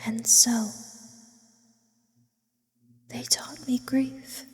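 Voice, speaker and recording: A woman voicing an ancient, eerie sleep paralysis demon in an intimate, formal ASMR roleplay, mostly in a breathy whisper. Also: a little high, fairly even intonation. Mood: wistful, solemn. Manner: slow, deliberate, theatrical and formal in wording. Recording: long reverberant hall effect.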